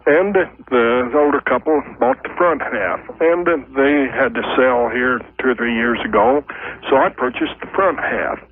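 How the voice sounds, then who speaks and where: A man's voice: mid-range voice, measured pace. A man calling a radio show, explaining his problem in a folksy, rambling, hesitant way. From United States, Oklahoma. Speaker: call-in radio caller. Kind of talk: casual explaining of a personal problem. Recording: tinny noisy phone line on radio.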